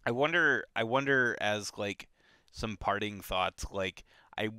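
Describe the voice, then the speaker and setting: A man co-hosting a book club podcast, talking in a relaxed, halting way with many fillers and restarts. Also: fairly low pitch, clear, resonant tone, no echo, clean studio sound. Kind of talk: casual book discussion.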